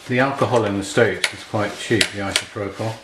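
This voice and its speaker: Male hobbyist repairer, deep-pitched, matter-of-fact, talking through his work in an echoey room. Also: a little fast, very noisy. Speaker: repair hobbyist demonstrating a restoration. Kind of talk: casual hands-on tutorial commentary, thinking aloud while working. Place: indoors at a repair workbench. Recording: roomy, clear handling clatter and clicks.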